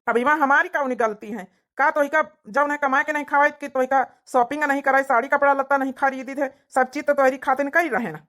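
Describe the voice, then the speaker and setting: A woman's voice: high voice, fairly even intonation, brisk, few pauses, fairly bright tone. A woman voicing a fed-up village husband in an Awadhi comedy cartoon, complaining and scolding like a long-suffering spouse. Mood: aggrieved, scolding. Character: fed-up working village husband nagging his wife.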